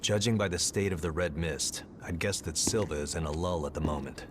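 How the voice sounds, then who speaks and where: A deep-voiced male comrade in a video game cutscene, acted by a man. He is grave and measured, speaking deliberately with clear noise behind him. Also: lightly acted. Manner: serious, deliberate.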